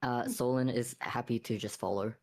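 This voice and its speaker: A woman speaks casually as a player at a tabletop game. Her voice is extremely low for a woman, with a husky, breathy edge. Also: fairly even intonation, normal speed, a little mellow. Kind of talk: casual chat.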